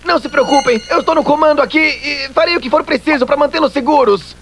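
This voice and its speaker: A man's voice: extremely high pitch, fast, a little hiss or hum. A man voicing an eager, bossy young critter leader in a cartoon dub, exclamatory and dramatic. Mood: bossy, confident. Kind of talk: animated cartoon dub acting. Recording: loud music behind the voice. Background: music.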